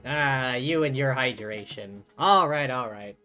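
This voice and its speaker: A man live-streaming a game, chatting casually and rambling to his viewers, with music softly behind him. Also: mid-range voice, measured pace. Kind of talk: casual chat while playing a game. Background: faint music.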